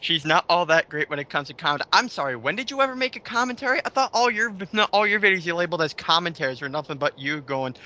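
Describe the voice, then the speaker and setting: A man's voice: fairly high pitch, animated, quick pace, hardly any pauses, pushed and bright. A man ranting casually in a group commentary, scornful and exclamatory, swearing as he goes. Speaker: co-host of a mocking commentary.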